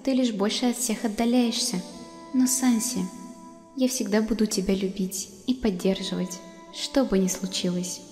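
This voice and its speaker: Woman voicing a gentle ghostly mother in long reverb. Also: medium pitch, fairly even intonation, normal speed, close to an everyday voice. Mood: caring, earnest. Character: gentle motherly ghost of a dead skeleton monster. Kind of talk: acted comic dub. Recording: long echoing reverb under music.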